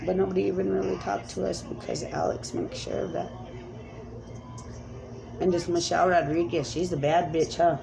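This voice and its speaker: A woman's voice: lowish voice, little pitch movement, normal speed, gravelly, pauses often, background noise. A woman speaking as a self-described spirit medium in a rambling, emphatic monologue, pleading and repeating commands.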